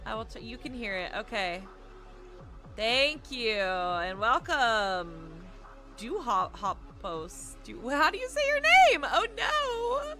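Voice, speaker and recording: Bright-voiced woman streamer over music. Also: on the high side, big pitch swings, slow pace. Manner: playful, chatty, self-deprecating. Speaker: livestreamer and VTuber reading a visual novel aloud. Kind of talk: casual stream chat mixed with expressive read-aloud narration. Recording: music playing behind the voice. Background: game music.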